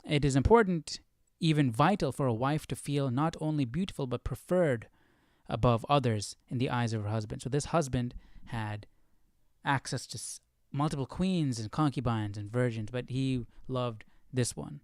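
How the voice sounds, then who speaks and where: Guy doing a casual bible study livestream, thinking out loud, mid pitch, dry close sound. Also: moderate pace, no room sound. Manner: informal, questioning, thinking aloud. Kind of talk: casual commentary, reading aloud and musing.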